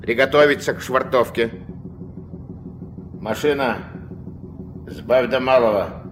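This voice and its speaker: An actor playing a gruff, steady old riverboat captain and grandfather with a curt, commanding way in a Soviet radio play. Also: mid-range voice, takes it slowly, music in the background, natural voice. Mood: brisk, commanding.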